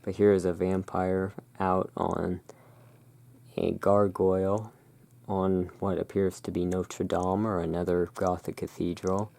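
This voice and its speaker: Very low, creaky, slow ASMR voice. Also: flat delivery, no echo. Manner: slow, hushed, deliberate. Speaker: host presenting tarot cards. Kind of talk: whispered ASMR narration.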